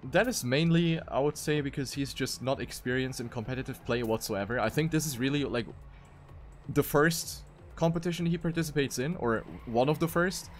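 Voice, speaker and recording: A man's voice: medium pitch, moderate pace, a little hiss or hum. A man doing live commentary on an esports racing game as one of the casters. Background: music.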